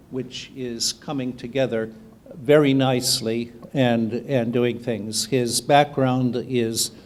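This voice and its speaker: A man introducing a speaker at a seminar, halting and dryly ironic, with many fillers. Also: medium pitch, a little mellow. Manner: slow, halting, dry irony. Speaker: seminar moderator. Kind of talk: conference introduction. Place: seminar hall.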